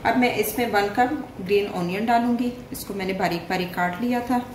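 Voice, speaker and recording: A woman walks viewers through a home recipe one step at a time, friendly and plain, with small pauses. Her voice sounds a little distant from the microphone, in a reverberant room. A faint hiss sits under it. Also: mid-range voice. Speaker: cooking channel host. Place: home kitchen. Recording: echoey room, voice a bit distant, faint noise.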